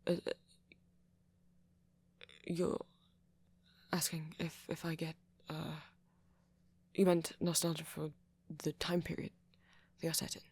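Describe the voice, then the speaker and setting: Woman as a breathy, stammering shy vampire boy. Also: low voice, no echo, full character voice. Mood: awkward, self-conscious. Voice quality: soft, breathy, often half-whispered. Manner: hesitant, stammering, self-conscious. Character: shy, anxious, apologetic young-looking male vampire, softly nerdy. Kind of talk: ASMR roleplay monologue to a listener.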